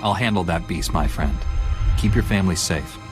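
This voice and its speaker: A man playing a resolute, protective hero in a sci-fi game, calm and firm. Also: sits low, normal speed, over music, lightly acted.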